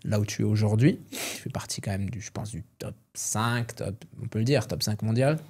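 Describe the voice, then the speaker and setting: A man's voice: lowish voice, brisk, darkish tone, breathy edge. A man hosting a casual interview, chatty and piling one question onto the next. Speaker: podcast host, interviewer.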